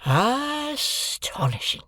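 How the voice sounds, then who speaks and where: A reader voicing a simple, kindly village blacksmith who talks in a halting, rustic way, in an audiobook. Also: medium pitch, very animated, no room sound, studio quality, voice pushed up, heavily acted. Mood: stunned. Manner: rustic, halting, earnest speech. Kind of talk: audiobook character voice.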